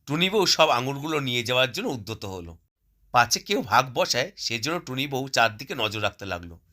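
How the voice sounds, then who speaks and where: Guy narrating a kids cartoon tale, sharp bright forceful voice, mid pitch. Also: big pitch swings, a little fast. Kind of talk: scripted cartoon story narration.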